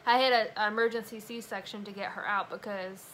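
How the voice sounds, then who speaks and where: A woman's voice: mid-range voice, fairly even intonation, fairly quick, a bit bright, a little hiss or hum. A young woman telling a personal story in a casual vlog chat. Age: young adult. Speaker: vlogger, new mother.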